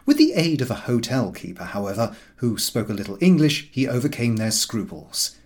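A male audiobook reader narrates a comic novel in a mid-pitched voice. His intonation swings extremely widely, giving the storytelling an expressive, theatrical feel. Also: moderate pace.

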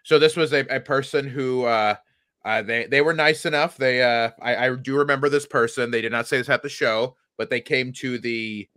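A man's voice: medium pitch, steady tone, moderate pace, fairly bright tone, clear, resonant tone, no room sound, studio quality. A man in casual podcast banter, a comedian telling about his act in a wry, joking way with stumbles and restarts.

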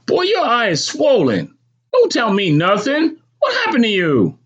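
Man putting on a stern, high-pitched scolding mother. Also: huge pitch swings, normal speed, fairly bright tone, breathy edge, lo-fi sound, heavily acted. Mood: sharp, suspicious. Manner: barking, snapping orders, exasperated. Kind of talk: acted audiobook dialogue.

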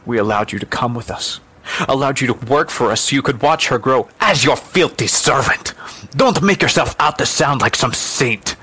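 A man's voice: fairly low pitch, level tone, quick pace, hardly any pauses, fairly bright tone. A man voicing a stern, condescending lady of the house, an angry mother who gives commands.

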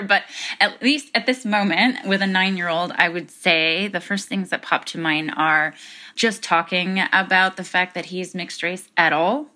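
An American woman speaking as a podcast guest, thoughtful and reflective, pausing to choose her words. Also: fairly low pitch, sharp tone. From United States. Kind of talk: interview conversation.

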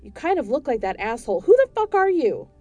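A woman voicing a foul-mouthed young homeowner who yells and swears in a spoken story. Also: sits high, very animated, brisk, no room sound, pitched above the performer's own voice. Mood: furious. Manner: shouting, swearing.

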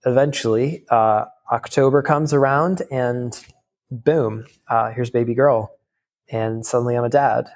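Gravelly young man telling a raw story. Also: mid-range voice, a little mellow. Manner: casual, halting, many fillers and restarts. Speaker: guest. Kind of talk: candid personal storytelling.

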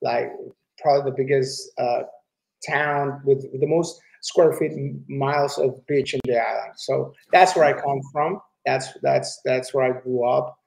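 Gravelly Puerto Rican man, relaxed. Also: mid-range voice, rough recording. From Puerto Rico. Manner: relaxed, halting, restarts often. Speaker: interview guest, entrepreneur. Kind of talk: casual conversation.

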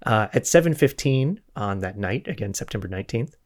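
A man's voice: fairly low pitch, animated, brisk. A man hosting a podcast, retelling a historical mystery in an informal, conversational way with joking asides and self-corrections. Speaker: podcast host telling a true crime case.

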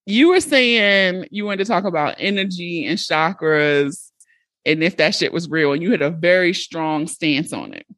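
A woman in her late thirties hosting a podcast, frank and expressive in casual chat. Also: on the low side, dry recording. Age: 30s. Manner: expressive, frank and quick to laugh. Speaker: podcast host.

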